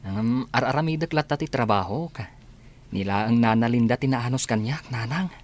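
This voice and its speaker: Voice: medium pitch, moderate pace, close to an everyday voice. A performer acting a shy, meek, hardworking grown son in a radio comedy drama. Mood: gentle.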